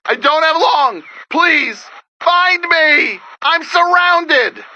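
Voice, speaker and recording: A man's voice: very high voice, drawn-out words, bright, forceful tone, highs cut off, slight background noise, heavily acted. A man playing an earnest, wry survivor radio DJ in a post-apocalyptic video game, talking in a dramatic, urgent, conversational way. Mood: desperate. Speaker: radio broadcaster character. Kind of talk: video game voice acting, radio broadcast monologue.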